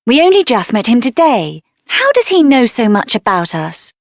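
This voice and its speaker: An actress voicing a spirited, headstrong young woman adventurer in a video game, expressive and earnest, with a tender side. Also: on the high side, normal speed, no echo, studio quality. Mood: curious, suspicious.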